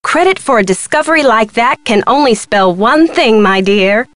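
A middle-aged female museum curator for a video game. She is brisk and theatrically wry, always a little harried. Her voice sits fairly high, in a very dry recording. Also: expressive, a bit bright, no room sound. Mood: brisk, upbeat. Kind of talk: scripted video game dialogue.

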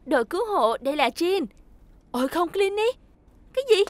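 A woman voicing a caring, cautious helper among talking vehicles in a children's cartoon dub, expressive and concerned. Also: extremely high pitch, normal speed, heavy background noise. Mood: concerned, reassuring. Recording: loud music behind.

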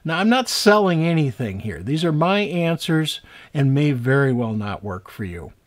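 A man in his sixties, an author and teacher, giving a scripted talk to camera in deliberate, emphatic phrases with pauses. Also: on the high side, sing-song. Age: 60s.

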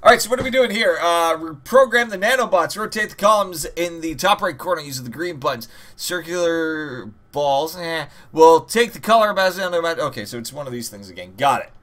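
A man's voice: a little high, lively intonation, fairly quick, a bit bright. A man giving casual, jokey let's-play commentary as host, rambling and swearing freely. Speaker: host of a gaming channel.

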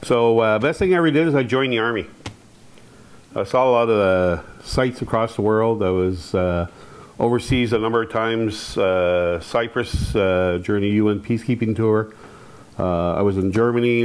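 Relaxed older man with a lapel mic. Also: lowish voice, clean tone. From Quebec, Canada. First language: Algonquin. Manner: relaxed, unhurried, many "uh" pauses. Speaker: panel speaker. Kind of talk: personal storytelling at a panel. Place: hall with curtained stage, audience present.

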